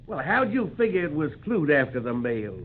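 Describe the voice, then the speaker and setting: Plain-spoken mountain rustic, folksy drawl, vintage radio drama over a narrow, noisy recording. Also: male voice, a little high, very animated, normal speed. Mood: puzzled. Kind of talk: 1940s radio drama acting.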